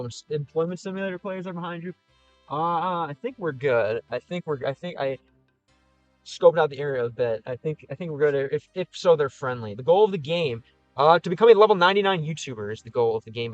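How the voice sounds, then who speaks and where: A man with a Chicago Midwest accent rambles through viewer comments on a livestream. He thinks out loud, piling up fillers and false starts. The mic is close and dry, the sound a little dull, with faint music underneath. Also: on the high side, no room sound. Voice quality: slightly whiny vowels. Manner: rambling, thinks aloud, many fillers and restarts. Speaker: streamer and game creator. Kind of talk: livestream, reading and answering comments.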